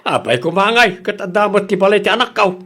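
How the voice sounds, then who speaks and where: A man's voice: sits high, animated, brisk, sharp tone, heavily acted. A man with an Ilocano accent playing a stubborn, defiant father in a radio drama, arguing back in a hard, argumentative way. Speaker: father in a family quarrel.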